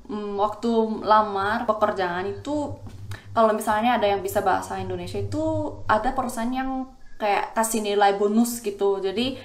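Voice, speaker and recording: A woman's voice: medium pitch, fairly even intonation, moderate pace, echoey room. A woman in her twenties from South Korea, a native Korean speaker with a Korean accent, explaining things casually as a student vlogger. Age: 20s. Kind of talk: casual explanatory vlog talk.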